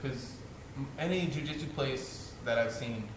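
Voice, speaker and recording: A man's voice: mid-range voice, a little slow. Laid-back man in an echoey room. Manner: laid-back, explains with fillers like "you know". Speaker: podcast host and jiu-jitsu instructor. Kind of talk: casual chat and technique demonstration. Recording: echoey room with background noise.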